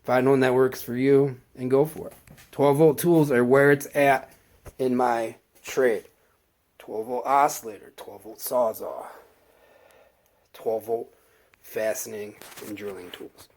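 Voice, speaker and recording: A man with a head cold talks through his work kit at an unhurried pace, often pausing mid-thought. His stuffed-up voice sits at an ordinary pitch, and he gets eager when a favorite piece of gear comes up. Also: steady tone, a little mellow. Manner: slow, halting, enthusiastic about gear. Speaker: maintenance tech showing his tools. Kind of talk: casual tool walkthrough.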